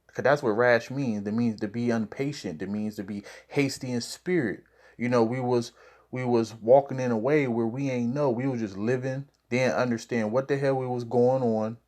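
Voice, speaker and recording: A man's voice: medium pitch, fairly even intonation, moderate pace, a little mellow. A man preaching informally to camera, explaining scripture in a conversational way and checking in with "all right?". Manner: conversational, with repeated "all right?" and "you know".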